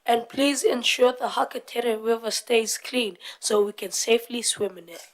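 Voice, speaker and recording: A woman's voice: a little high, normal speed. A young woman from Fiji reading out her part of a prepared group presentation. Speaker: youth forum presenter.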